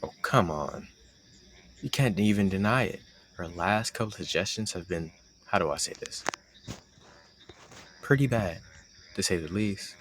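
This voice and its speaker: A man acts out a young male roommate with a low-pitched voice, bantering in a casual, teasing way. He speaks directly to the listener like a close friend hiding a crush, in a roleplay audio with slight background noise. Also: expressive, measured pace, long pauses. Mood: playful teasing. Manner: teasing, conversational, sarcastic banter.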